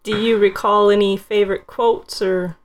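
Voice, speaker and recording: A woman's voice: mid-range voice, flat delivery, moderate pace, slight background noise. A woman hosting a casual film discussion, talking informally and retelling scenes with lots of "like". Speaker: podcast host reading questions.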